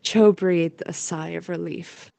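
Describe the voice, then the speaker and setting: Lowish-voiced woman narrating a story. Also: steady tone, takes it slowly, creaky, dark tone, no room sound.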